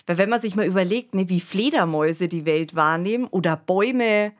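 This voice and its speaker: A woman's voice: lowish voice, fairly quick. Analytical woman co-hosting a discussion. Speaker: podcast co-host. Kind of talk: casual discussion of science fiction radio plays.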